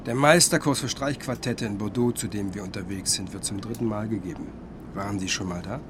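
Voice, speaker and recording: An actor playing an earnest, curious younger music scholar in a radio drama, speaking politely and thoughtfully. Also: male voice, fairly low pitch, fairly quick, background noise, close to an everyday voice. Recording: music behind the voice.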